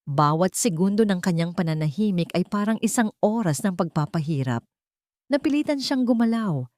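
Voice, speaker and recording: A woman's voice: mid-range voice, a little mellow, dry recording, studio quality. A woman reading scripted dramatic narration of a romance story as a storyteller.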